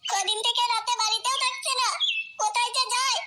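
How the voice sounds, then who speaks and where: A woman's voice: extremely high pitch, steady tone, fairly quick, very noisy. A woman playing an aggrieved, plaintive housewife and mother in a comedy cartoon. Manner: plaintive, complaining.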